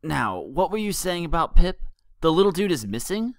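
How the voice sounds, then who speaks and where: A man's voice: a little high, sing-song, normal speed, no room sound, pitched above the performer's own voice. A man voicing a bold, protective young female pegasus pony in a storybook, with a casual, reassuring manner. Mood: concerned.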